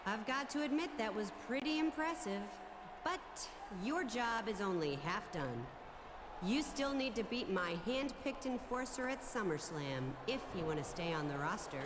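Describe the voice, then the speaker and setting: A woman's voice: medium pitch, lively intonation, moderate pace, noisy background, close to an everyday voice. A woman voicing a haughty, scheming wrestling boss in a video game cutscene. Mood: condescending.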